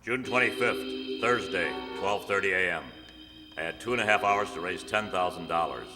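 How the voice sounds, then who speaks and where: An actor playing a matter-of-fact, deadpan police detective in a 1950s-style radio crime drama. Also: male voice, low-pitched, normal speed, natural voice. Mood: deadpan, businesslike. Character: male police detective narrating, posing as a drug buyer. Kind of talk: 1950s radio crime drama, clipped first-person narration. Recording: hall-like reverb, music underneath.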